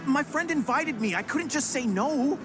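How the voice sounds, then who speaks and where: Sheepish young warrior, music behind. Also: female voice, high-pitched, lively intonation, moderate pace. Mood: defensive. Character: sheepish, defensive young team member making excuses. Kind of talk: anime game voice acting.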